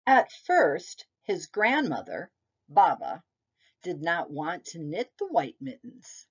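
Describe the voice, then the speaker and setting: A woman with a fairly low voice reads a storybook aloud for young children. Her phrasing is deliberate and unhurried, and her pitch moves up and down expressively as she tells the tale. The recording is a little dull and lo-fi. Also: big pitch swings, husky. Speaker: preschool teacher reading a picture book aloud.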